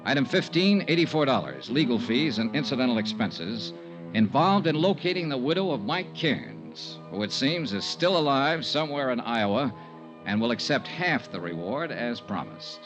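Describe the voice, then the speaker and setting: A man's voice: mid-range voice, big pitch swings, normal speed, over music, poor audio. An actor in a 1950s radio drama playing a hard-boiled, principled male insurance investigator who speaks and narrates in a terse, dry, clipped way. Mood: brisk, wry.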